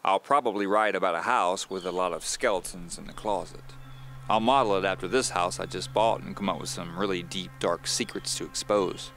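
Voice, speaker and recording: A man's voice: sits low, a little fast, a bit bright, a little hiss or hum. A man playing a polite, wry thriller novelist in an audio drama, courteous and dryly humorous. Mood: matter-of-fact. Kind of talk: audio drama first-person storytelling.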